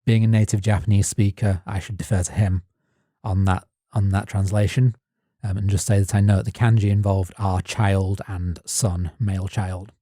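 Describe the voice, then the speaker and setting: Man, low dark voice, a little husky, dry close mic, steady narration from notes. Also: dry recording. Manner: reads prepared notes in even, measured narration. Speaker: podcast co-host. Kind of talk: scripted narration.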